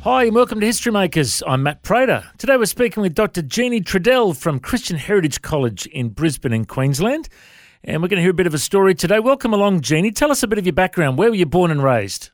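A man's voice: sits high, very animated, fast, no room sound, studio quality. A man hosting an interview, asking friendly prompting questions. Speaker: interview host. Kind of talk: radio interview.

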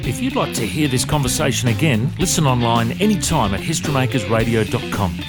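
Man, radio interview host, mid-range pitch, sign-off delivery, clear guitar music behind. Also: lively intonation, fairly quick. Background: plucked guitar music.

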